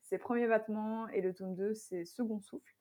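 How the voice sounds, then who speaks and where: A woman's voice: mid-range voice, fairly even intonation, normal speed, mellow. A woman in her mid twenties from Belgium, chatting casually as an author being interviewed.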